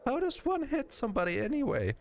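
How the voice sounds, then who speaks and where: Game role: sweet, timid little young woman, played by a man, high and swooping, gushing and hesitant. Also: huge pitch swings, fairly quick, gravelly, a little hiss or hum, heavily acted. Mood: shocked, apologetic. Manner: hesitant, gushing, exaggerated when scolding.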